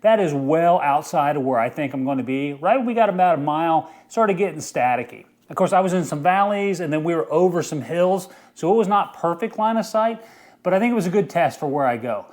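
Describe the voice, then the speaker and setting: A man's voice: on the high side, animated, fairly quick, a little mellow. A middle-aged man hosting a casual outdoor gear review in an informal, enthusiastic way. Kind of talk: casual product review vlog.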